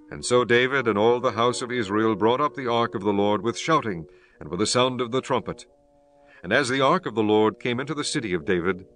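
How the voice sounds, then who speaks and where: A man narrating scripture for an audiobook in a solemn, formal, measured style. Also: on the low side, a bit bright. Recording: music behind the voice.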